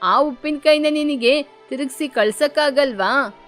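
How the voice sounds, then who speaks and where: A woman's voice: high voice, unhurried. A woman voicing a hot-tempered young female bird who sells pickles and quarrels with customers. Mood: angry. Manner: sharp-tongued, quarrelsome. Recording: faint music behind.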